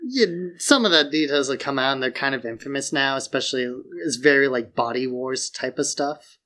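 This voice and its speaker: A man's voice: mid-range voice, fairly quick, bright, forceful tone. A man co-hosting a podcast, giving his opinions in casual, informal chat. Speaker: podcast co-host.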